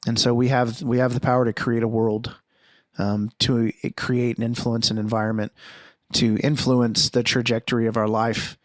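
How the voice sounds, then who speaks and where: A man's voice: medium pitch, level tone, normal speed, no room sound. Podcast host, male, 40, solo reflective monologue, earnest and searching, close dry mic. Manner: earnest, thinking aloud with restarts and rhetorical questions.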